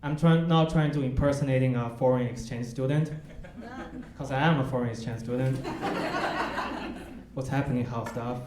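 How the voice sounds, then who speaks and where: Young Chinese-accented comedian, lively pitch. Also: male voice. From China. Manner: deadpan, self-mocking, with pauses for laughs. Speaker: stand-up comedian. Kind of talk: stand-up comedy set. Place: comedy club stage. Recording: echoey club with loud crowd noise. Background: audience laughter.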